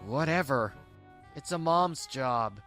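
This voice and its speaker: A woman's voice: rumbling low, expressive, slow pace, fairly bright tone, faint music behind, full character voice. A woman voicing a selfish, dismissive young husband in a manga dub, whiny and condescending.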